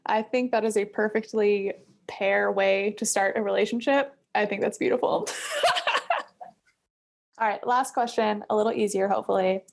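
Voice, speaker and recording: A woman's voice: mid-range voice, fairly even intonation, normal speed, gravelly. A woman hosting a business podcast, bubbly and laughing often between questions. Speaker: podcast host. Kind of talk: podcast interview.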